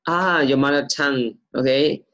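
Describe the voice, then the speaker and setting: A young man teaching English on a video call, repeating questions slowly to coax answers from his student. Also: medium pitch, steady tone, a bit bright. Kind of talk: online English lesson over video call.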